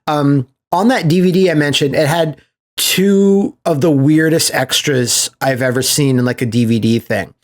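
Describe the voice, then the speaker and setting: Guy on a podcast, voice a bit high, chatty storyteller, swears casually, quotes reactions. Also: moderate pace. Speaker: podcast host. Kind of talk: casual enthusiastic chat.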